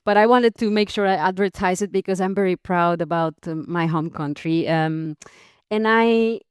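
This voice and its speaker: Clear-voiced woman from Costa Rica. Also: fairly low pitch, normal speed, no echo, studio quality. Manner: friendly, laughs often, frequent fillers and restarts. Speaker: podcast guest. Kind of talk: interview conversation.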